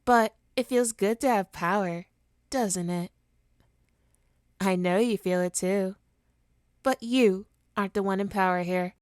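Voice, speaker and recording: A woman's voice: mid-range voice, a little slow, pauses often, darkish tone, clear, resonant tone, dry recording, clean studio sound, close to an everyday voice. A woman playing a smug, teasing supervillain with hypnotic powers in an ASMR roleplay, taunting and theatrical in a condescending way. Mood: gloating, taunting. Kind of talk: ASMR roleplay monologue to listener.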